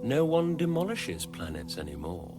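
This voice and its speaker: An actor playing an unflappable, pedantic alien travel companion in a radio comedy, offhand and casual. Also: male voice, lowish voice, very animated, moderate pace, over music. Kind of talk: radio comedy drama acting.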